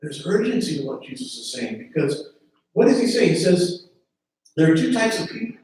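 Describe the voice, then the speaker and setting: A man's voice: on the high side, big pitch swings, normal speed, a little mellow, slight background noise. A man preaching a sermon as pastor, earnest, often repeating words as he starts a phrase. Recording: very echoey room from a distance.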